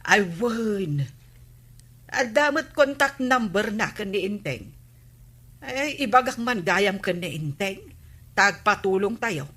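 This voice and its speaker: An actress with an Ilocano accent playing a sharp-tongued, inquisitive village wife in a comedy drama. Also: female voice, medium pitch, expressive, slow pace, long pauses, breathy edge, exaggerated voice. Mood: chatty, eager.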